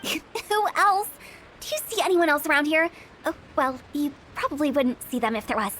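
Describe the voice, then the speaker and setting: Cheeky young funeral director girl, very high voice, playful and a bit spooky, faint hiss behind. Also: lively intonation, moderate pace, fairly bright tone. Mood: teasing. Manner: playful, teasing, with sudden spooky asides. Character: mischievous young female funeral parlor director. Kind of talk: video game voice acting.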